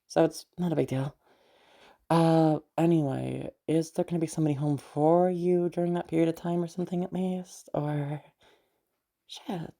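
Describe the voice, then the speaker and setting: A woman playing a protective, easygoing big sister in an intimate audio roleplay, speaking in a halting, reassuring way with sighs and casual swearing. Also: low-pitched, fairly even intonation, vocal fry, dark tone. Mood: awkward, concerned. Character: protective, mysterious, easygoing big sister escorting a new acquaintance.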